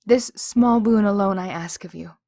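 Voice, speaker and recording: A woman's voice: medium pitch, normal speed, warm tone, dry recording, studio quality, close to an everyday voice. A woman voicing a humble female djinni spirit, a servile mare who speaks formally and imploringly. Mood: pleading. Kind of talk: fan audiobook voice acting.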